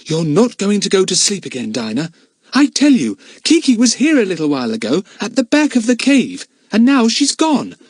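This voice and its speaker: A man voicing a timid, anxious little girl who talks in a high, urgent, pleading way. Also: wildly swooping pitch, normal speed, husky, no echo, exaggerated voice.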